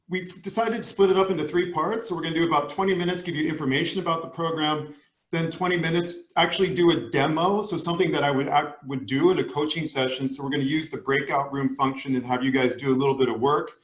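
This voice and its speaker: A man, hosting a webinar on a video call in a friendly, upbeat way with some restarts. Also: on the high side. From San Diego, USA. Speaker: coach and managing partner. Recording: echoey room.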